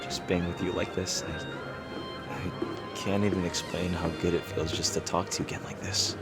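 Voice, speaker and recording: A man's voice: deep voice, level tone, moderate pace, natural voice. A man playing a lovestruck royal rival in a romantic audio roleplay, playful and yearning, with tender, hesitant delivery. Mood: wistful, tender. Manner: teasing, hesitant, tender, formal-leaning phrasing. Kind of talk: romantic audio roleplay, intimate ASMR acting. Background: music.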